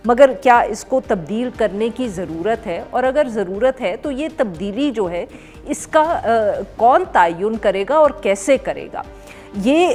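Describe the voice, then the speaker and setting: A woman with a Pakistani Urdu accent hosting a news talk show, introducing the topic and guests in a measured, formal way with frequent "uh" fillers. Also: medium pitch.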